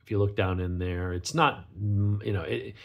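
A man with a gravelly, sniffly voice from a cold, giving a casual unscripted product review in a rambling, conversational way. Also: lowish voice, steady tone, normal speed, phone-quality sound. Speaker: knife reviewer, channel host.

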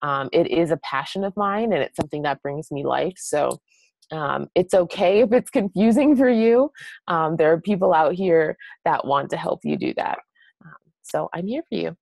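Low-pitched American woman with some creak. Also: lively intonation, moderate pace, darkish tone, no echo. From New Hampshire, United States. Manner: chatty, encouraging. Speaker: guest presenter, blog strategist. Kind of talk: casual webinar presentation.

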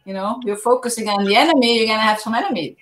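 A woman hosting a video call chat, lively and quick to laugh. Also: fairly high pitch, crowd noise. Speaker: host. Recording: echoey room on a video call.

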